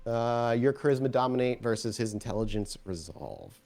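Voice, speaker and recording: Casting: male storyteller for a tabletop roleplaying game, medium pitch, conversational improvised narration. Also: expressive, normal speed, creaky, darkish tone. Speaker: game master.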